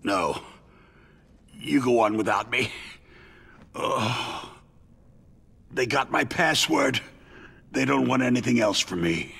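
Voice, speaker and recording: A man voicing a wounded, cynical arms company executive in a video game, with a creaky voice and a weary, condescending way of explaining things. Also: medium pitch, expressive, takes it slowly, long pauses, fairly bright tone, full character voice. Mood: pained, weary. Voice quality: creaky, gravelly.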